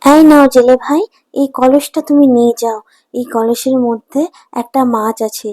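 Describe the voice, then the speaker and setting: A kindly cartoon water fairy, acted by a woman, speaks in a soft, mellow tone. She is solemn and reassuring, like one who grants blessings. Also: high voice, fairly even intonation, normal speed, no room sound. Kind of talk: cartoon voice acting.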